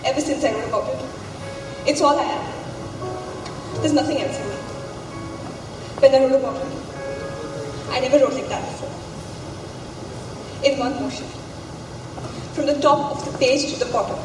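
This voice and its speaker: Stage play: actress as defiant, hurting college girl, high-pitched, far from the mic in a ringing hall. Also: normal speed, long pauses, natural voice. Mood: anguished. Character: wounded, defiant young female college student. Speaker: stage actor. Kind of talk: student stage drama acting. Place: theatre stage, recorded from afar. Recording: echoey hall, far mic, background music.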